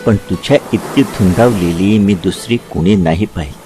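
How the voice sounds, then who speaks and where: A man playing Krishna as a tender, reflective lover looking back in a dramatized literary dialogue, speaking with halting repetitions. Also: sits low, warm tone, faint music behind, lightly acted. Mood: wistful recollection.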